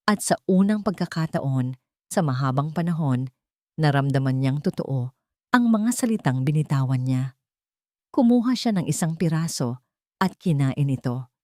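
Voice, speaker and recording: Low-voiced Filipino woman narrating drama. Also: lively intonation, moderate pace, pauses often, dark tone, dry recording, studio quality. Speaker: narrator of a romance story channel. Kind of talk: dramatic scripted audio story narration in Tagalog.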